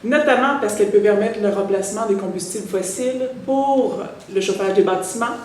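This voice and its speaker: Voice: medium pitch, fairly quick, distant mic, slight background noise. A speaker delivers prepared remarks at a press conference, hesitating now and then and correcting a slip. The voice sits well back from the microphone. The room is strongly echoey, with faint ambience underneath. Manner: reads prepared points, hesitant. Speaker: biomass group representative at a press conference. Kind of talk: formal press statement.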